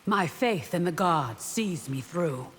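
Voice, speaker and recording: Game role: proud warrior bodyguard, lowish, measured and defiant, reverb with faint noise. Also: female voice, expressive. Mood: earnest, firm. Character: proud, devout, fierce female bodyguard warrior of an empress. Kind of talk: video game character acting.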